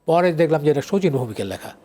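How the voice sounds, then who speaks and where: An older man with a Bangladeshi accent and a somewhat higher-pitched voice. He speaks in a casual interview style, explaining things conversationally and often checking that he is being followed. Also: animated, quick pace, warm tone. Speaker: guest, song lyricist and writer.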